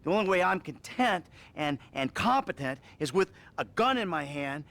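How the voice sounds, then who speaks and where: An actor playing a weary, defensive middle-aged ex-criminal who talks bluntly and swears, in a video game cutscene. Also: male voice, fairly high pitch, sing-song, moderate pace, fairly bright tone. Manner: blunt, profane, self-mocking. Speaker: therapy patient.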